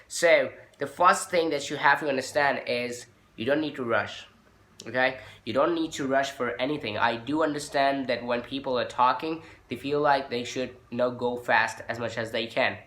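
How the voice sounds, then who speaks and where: A man's voice: medium pitch, moderate pace, a bit bright. A man who speaks English as a second language, giving an informal spoken lesson as a teacher, explaining and repeating his points with frequent check-in tags. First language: non-native English speaker. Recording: echoey, roomy sound.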